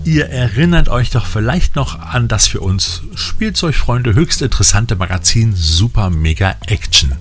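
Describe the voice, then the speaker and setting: A man's voice: mid-range voice, lively intonation, normal speed, hardly any pauses. A man hosting a scripted magazine-style show, narrating with colloquial, enthusiastic fan patter. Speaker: podcast host. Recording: music playing behind the voice. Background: music bed.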